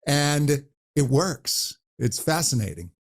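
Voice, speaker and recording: A man who coaches voices, talking animatedly in an interview and demonstrating high and low pitch as he explains. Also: expressive, slow pace. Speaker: voice coach guest. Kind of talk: conversational interview.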